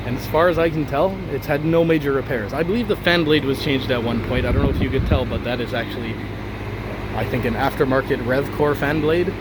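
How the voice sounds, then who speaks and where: A hoarse man with a cold, grumbling and ranting about contractors while showing an old air conditioner in his backyard. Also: fairly high pitch, background noise. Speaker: video host. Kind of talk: casual outdoor vlog walkthrough.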